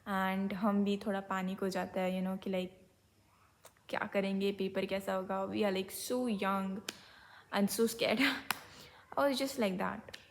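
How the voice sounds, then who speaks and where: A young woman with an Indian English accent, a little hoarse from being unwell, telling a personal story in a candid, reflective vlog and slipping into Hindi mid-sentence. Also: medium pitch, fairly even intonation, fast, long pauses. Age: young adult. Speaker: vlogger.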